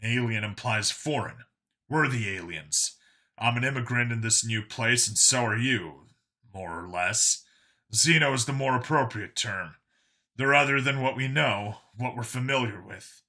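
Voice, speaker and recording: A man plays a tough enforcer in a story, speaking at a middle pitch with a very bright, forceful edge. He explains himself earnestly and bluntly. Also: a little slow. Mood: lecturing. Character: Vincent, earnest tough human enforcer, reformed bigot.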